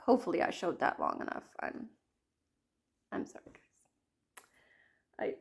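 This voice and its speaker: A woman in her thirties chatting casually about her cross-stitch projects, her voice a little croaky from a cold, rambling and apologizing often. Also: unhurried. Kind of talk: casual chat to camera.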